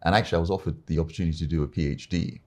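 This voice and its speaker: An older man from Uganda, an experienced arbitrator, telling his life story as an interview guest in a measured, unhurried way. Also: deep voice, steady tone, a little mellow.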